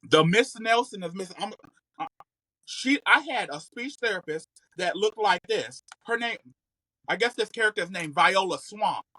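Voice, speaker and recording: A man's voice: high voice, lively intonation, unhurried, sharp tone, no echo, poor audio. A man in his thirties chatting casually as a podcast guest, telling stories in a sassy, slangy, emphatic and comedic way. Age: 30s. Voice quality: occasional slight stutter. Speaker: podcast guest, content creator. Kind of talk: casual, animated storytelling.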